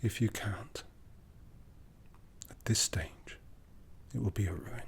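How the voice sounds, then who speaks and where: A man voicing a brooding, tender and possessive vampire lord for an ASMR roleplay, in a soft, breathy half-whisper with slow, intimate, solemn delivery. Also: lowish voice. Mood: solemn, intense.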